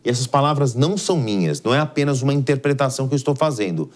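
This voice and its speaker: Male news commentator, Brazilian accent, medium pitch, instructive delivery that stresses key points. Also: expressive, very fast. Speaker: news analyst. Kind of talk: live explanatory news commentary.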